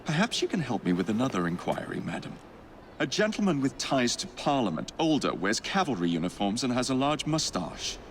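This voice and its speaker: An actor playing a cocky young assassin, wry and rough-and-ready in a game cutscene. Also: male voice, mid-range voice, very animated, a little fast, a little hiss or hum. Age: young adult. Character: cocky young male assassin posing as bodyguard.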